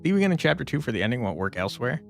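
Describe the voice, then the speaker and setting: A man's voice: medium pitch, big pitch swings, racing, vocal fry. A man streaming a game, giving casual live commentary and chatting informally with his viewers. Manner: informal, reads out chat and answers it. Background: game music.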